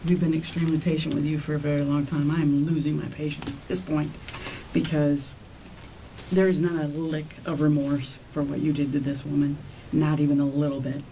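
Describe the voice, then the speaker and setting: A distant, noisy interview-room recording of a female detective questioning a suspect. Her voice is soft-edged and dark, while her words are stern, accusatory and repetitive. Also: sits low, level tone, brisk, lo-fi sound. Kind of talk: confrontational police interrogation. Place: small indoor police interrogation room.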